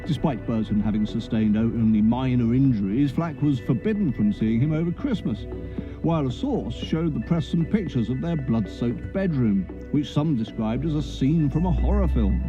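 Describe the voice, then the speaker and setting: A man's voice: mid-range voice, animated, normal speed, muffled recording, lightly acted. A man reading a sober, scripted news report as a radio presenter. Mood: serious. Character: sober male news reader. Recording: music clearly behind the voice.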